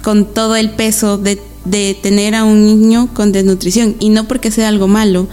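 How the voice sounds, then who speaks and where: A young woman from Guatemala speaks as a guest on a radio interview. She talks at a middling pitch, hesitating on many fillers and laughing easily, with faint music underneath. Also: flat delivery, moderate pace, warm tone, clear, resonant tone. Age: 20s. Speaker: interview guest, rural social worker.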